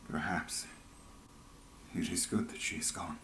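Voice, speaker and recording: An actor playing a weary, disillusioned rebel veteran in a fantasy video game, speaking in a grave, measured way. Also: male voice, low voice, fairly even intonation, fairly bright tone, slight background noise. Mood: weary, resigned. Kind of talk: dramatic fantasy game voice acting.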